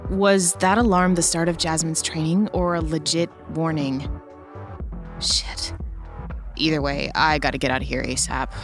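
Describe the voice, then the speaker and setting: A woman's voice: low-pitched, steady tone, measured pace. A woman playing a blunt, sweary, determined government invigilator in an urgent crisis, in an audio drama. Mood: grim, resolute. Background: music.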